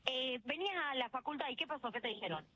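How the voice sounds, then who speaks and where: A female field reporter with an Argentine Rioplatense accent, speaking live for radio. Her voice is high and bright, and she reports on the spot and questions passers-by. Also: expressive, fast, slight background noise. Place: outside a university building on a city square.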